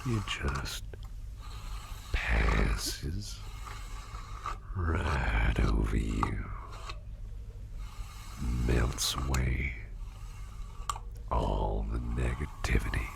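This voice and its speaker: Casting: male actor as gentle, reassuring boss for ASMR roleplay; breathy whisper, heavy vocal fry, drawn-out delivery, audible noise behind. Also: rumbling low, expressive, exaggerated voice. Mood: soothing, hushed. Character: kindly, attentive businessman boss soothing a star employee. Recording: clearly audible background noise.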